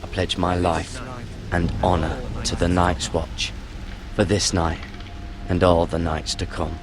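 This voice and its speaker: An actor playing an earnest young recruit of a sworn watch in a video game drama. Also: male voice, low-pitched, flat delivery, measured pace, over music. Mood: solemn.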